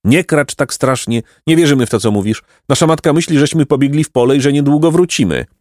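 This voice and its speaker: Man putting on a brash, high-pitched storybook boy. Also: expressive, quick pace, a little mellow, no room sound, studio quality, close to an everyday voice. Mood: defiant. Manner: exclaiming, dismissive, taunting. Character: brash, impatient, mocking young boy. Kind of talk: storybook character reading.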